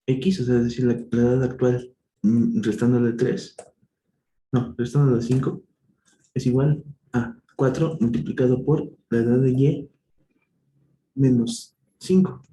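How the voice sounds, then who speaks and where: Dark-voiced man tutoring math, echoey room. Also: medium pitch. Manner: thinks aloud, self-correcting, slow and patient. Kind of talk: live online tutoring, working problems step by step. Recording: echoey room, faint noise.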